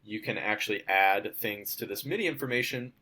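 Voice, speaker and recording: A young man, a student, walking through a demo of his software project in an informal, step-by-step way. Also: on the low side, brisk. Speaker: student presenting a class project.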